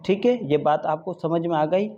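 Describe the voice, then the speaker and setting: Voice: mid-range voice, mellow. A lecturer teaches informally for exam students, explaining point by point, repeating key ideas and often checking understanding. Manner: repetitive, checks understanding often with tag questions; switches into English to read out legal definitions slowly. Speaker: law lecturer. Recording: dull, muffled audio with background noise.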